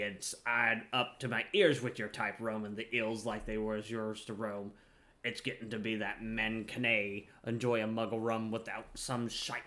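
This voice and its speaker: A man's voice: fairly low pitch, sharp tone, voice pushed down. A man voicing a foul-mouthed, fed-up video game pirate who grumbles in a rustic dialect.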